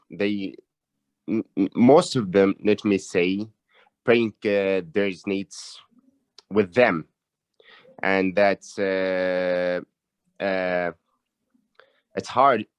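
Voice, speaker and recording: A man from Syria with a Syrian Arabic accent, an English learner who talks haltingly and restarts his sentences often. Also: sits low, smooth, dry recording.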